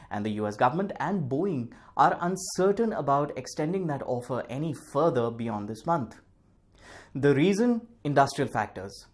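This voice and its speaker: A man reads a scripted news explainer as presenter, in a measured, formal manner with deliberate phrasing. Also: medium pitch, darkish tone.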